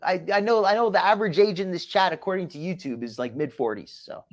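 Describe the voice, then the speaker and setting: A man's voice: high voice, fast. A man with a slight New Jersey accent hosting a show, gossiping and mocking sarcastically. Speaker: host. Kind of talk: mocking reaction commentary.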